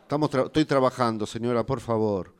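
A man's voice: mid-range voice, a little fast, clear, resonant tone, faint music behind, lightly acted. Guy playing argentine bus driver in a radio play, stressed out, bossy, keeps repeating orders at passengers. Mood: harried, bossy. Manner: repetitive, bossy, nagging. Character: harried, impatient male city bus driver ordering passengers around. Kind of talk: improvised radio drama acting.

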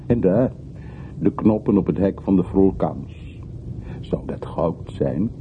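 A man's voice: low-pitched, lively intonation, slow pace. A man acting in a radio drama as a wistful, commanding man looking back on his hometown, reflective and formal. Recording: muffled phone-like old radio recording, faint noise.

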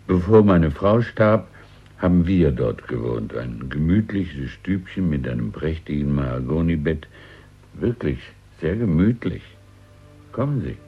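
Radio drama: elderly shopkeeper, very deep and raspy, courteous, wistful, confiding; muffled recording. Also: male voice, heavily acted. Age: older. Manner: gentle, wistful, hesitant, confiding. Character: courteous, melancholy old antique shop keeper. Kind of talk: German radio play acting. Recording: dull, lo-fi old radio production.

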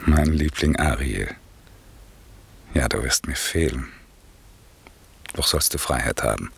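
An actor playing an aging exiled duke and sorcerer in a 1960s radio play, declaiming classical verse with weighty, measured authority and a husky voice. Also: male voice, very deep voice, lively intonation, full character voice. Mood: tender.

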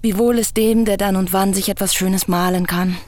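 A woman's voice: medium pitch, fairly even intonation, moderate pace, darkish tone, close to an everyday voice. Actress as bold, talkative woman, faint background noise. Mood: chatty, teasing. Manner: articulate, insistent, teasing. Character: Nanda, bold, talkative, probing woman visitor. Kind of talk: German radio play acting.

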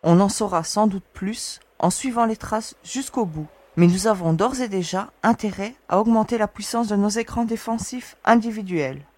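A woman's voice: medium pitch, normal speed, few pauses, natural voice. A woman voicing Mazas, a shrewd young space explorer. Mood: assured. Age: young adult. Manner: calm, assured and mildly teasing. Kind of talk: audio drama acting.

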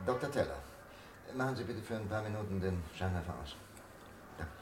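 An actor playing a persistent, probing doctor in a German radio crime drama, with an insistent, questioning manner. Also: male voice, lowish voice, expressive, brisk, close to an everyday voice. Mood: probing, controlled. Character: persistent, probing male doctor challenging a death sentence. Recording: faintly noisy vintage radio drama recording.

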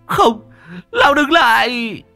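A stubborn, panicky young villager, as the narrator puts him on, pitched high and shouting in whiny protest. Bright and dry close-up sound, with faint music underneath. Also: male voice, big pitch swings, takes it slowly, gravelly, no echo, exaggerated voice. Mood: panicked shouting.

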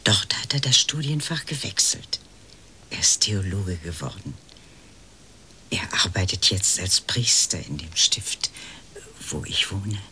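An actress playing a polite, hesitant elderly lady, a detective's client in a radio crime drama, speaking in measured, breathy phrases. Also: very deep voice, sing-song, pushed and bright, in a whisper, heavily acted. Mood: polite, reserved. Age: 60s or older.

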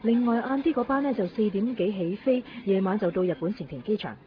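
A woman acting a brisk, chatty airline ticket clerk in a radio drama. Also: mid-range voice, crowd noise, close to an everyday voice. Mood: businesslike, chatty. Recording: dull radio recording, faint noise.

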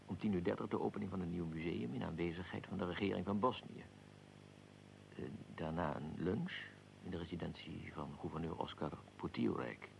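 An archduke in a radio play, low and super gravelly, formal and deliberate, bit of background hiss. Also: male voice, a little mellow, poor audio. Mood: measured recollection. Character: archduke, dignified aristocrat recounting his own assassination. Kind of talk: radio drama, recollection in interview form.

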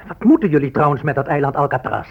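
An actor playing a blustering, condescending government negotiator in a radio drama, brusque and patronising. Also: male voice, a little high, animated, fast, creaky, narrow, tinny audio.

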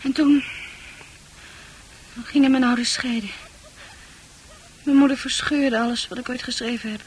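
Casting: woman to play dreamy, troubled young female confidante for Dutch radio drama, high-pitched, poetic and earnest. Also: steady tone, normal speed, a little hiss or hum, natural voice, low-quality recording. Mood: wistful, confiding.

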